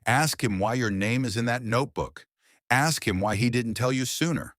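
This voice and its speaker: A man's voice: mid-range voice, normal speed, fairly bright tone, no room sound, studio quality, voice pushed up, close to an everyday voice. A man voicing a desperate gunman who insists, pleading, that she listen.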